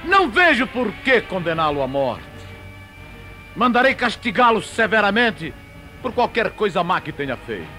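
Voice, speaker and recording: An actor playing an imperious Roman governor who wavers before a mob, declaiming in deliberate, oratorical phrases in a religious drama. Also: male voice, sits high, big pitch swings, bright, forceful tone, soft background music, heavily acted. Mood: pleading, firm. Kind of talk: theatrical religious audio drama.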